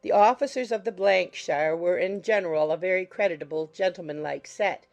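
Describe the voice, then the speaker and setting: A woman narrating a classic novel as a scripted audiobook, reading in a measured, literary manner. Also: lowish voice, a little mellow.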